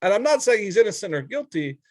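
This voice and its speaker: Male sports co-host, higher-pitched voice, close dry sound, easy conversational banter. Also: sing-song, quick pace, a little mellow, no echo. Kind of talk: casual sports talk banter.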